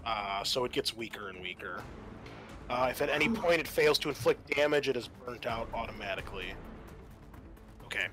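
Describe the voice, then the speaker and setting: A man speaking as game master of a tabletop roleplaying game, casual and halting as he thinks aloud. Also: mid-range voice, a bit bright.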